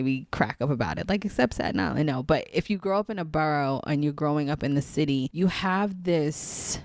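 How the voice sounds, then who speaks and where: An American woman from Queens, New York, chatting casually as a podcast guest and telling stories in an animated way. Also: deep voice, no room sound, studio quality. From Queens, New York City, USA. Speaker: podcast guest, spiritual guide. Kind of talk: casual chat.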